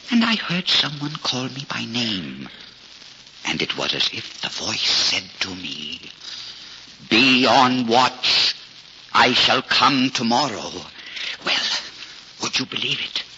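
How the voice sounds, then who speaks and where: An actor playing a humble, pious old shoemaker in a 1940s radio drama, earnest and halting, often repeating himself. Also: male voice, mid-range voice, big pitch swings, bright, forceful tone, heavily acted. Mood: fervent. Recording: noisy, muffled 1940s radio recording.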